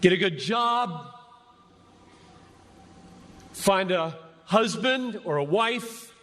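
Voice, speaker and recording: A man preaching an impassioned sermon to a crowd as a pastor, speaking emphatically and deliberately with dramatic pauses between short phrases. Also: high-pitched, animated, fairly bright tone. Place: large gathering before a crowd. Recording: echoey large venue, long reverb.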